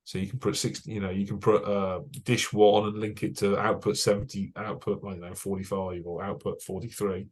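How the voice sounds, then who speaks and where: A young British man with a fairly low voice talks a club audience through his engineering work. He is chatty and technical, full of "uh" fillers, and drops dry jokes along the way. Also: level tone, fairly quick, hardly any pauses. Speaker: broadcast engineer giving a club talk. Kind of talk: casual presentation over slides.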